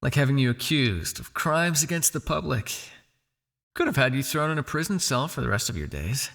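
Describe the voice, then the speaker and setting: Casting: male actor as smug vampire underworld lord, condescending and possessive, gravelly mid-pitched voice, intimate ASMR roleplay delivery. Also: very animated, moderate pace. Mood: condescending, teasing. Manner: taunting, silky, condescending with sighs and soft shushing.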